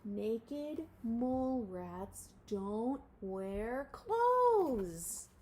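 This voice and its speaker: Storybook mole rat, sarcastic and appalled, high-pitched, swooping, scornful woman performing. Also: sing-song, a little mellow, slight background noise, full character voice. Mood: exasperated. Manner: exaggerated, scornful, drawn-out exclamations. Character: disgusted, sarcastic crowd of young naked mole rats.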